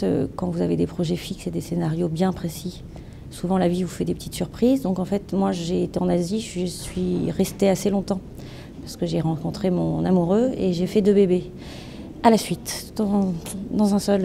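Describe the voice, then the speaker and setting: A woman in her thirties answering interview questions at a trade fair stand, lively and playful with words, gesturing as she talks. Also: on the low side, creaky, slight background noise. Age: 30s. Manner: animated, gestures with hands, playful wordplay. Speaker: entrepreneur interviewee. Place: event stand with branded backdrop, indoors.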